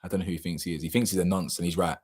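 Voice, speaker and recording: Young man, low-ish voice with some hoarseness; loose, slangy live-stream chatter with sudden loud bursts and trailing mumbles. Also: rapid-fire. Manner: slangy, animated, laughs often, drifts between loud bursts and mumbles. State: slightly hoarse. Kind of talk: casual live chat reading viewer comments.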